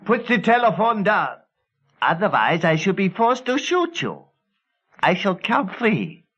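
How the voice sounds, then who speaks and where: A cold little dwarf henchman in an old radio thriller, pitched high with dramatic swoops. He threatens with formal, deliberate courtesy and a touchy pride. The recording is dull and narrow. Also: huge pitch swings, a bit bright, slightly rough, heavily acted. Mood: menacing. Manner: formal, deliberate, menacing politeness. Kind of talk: vintage radio serial acting.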